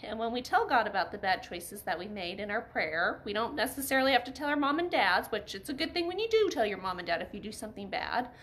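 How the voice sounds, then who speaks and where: High-voiced woman reading to children. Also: sing-song, fast, few pauses, a bit bright. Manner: addresses children with questions. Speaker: Bible story reader for children. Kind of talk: storytime reading with simple explanations. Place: living room by a fireplace.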